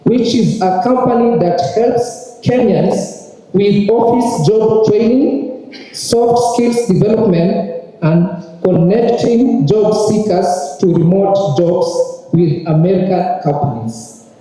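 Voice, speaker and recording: A man acting as master of ceremonies at a graduation, making formal, polite announcements at a slow pace. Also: sits high, warm tone. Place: large ceremony hall. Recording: echoey hall, distant mic.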